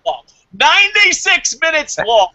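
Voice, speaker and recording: A man's voice: very high voice, wildly swooping pitch, unhurried, bright, forceful tone. A man in casual, profane banter as co-host of a review show, ranting in exasperation and swearing often.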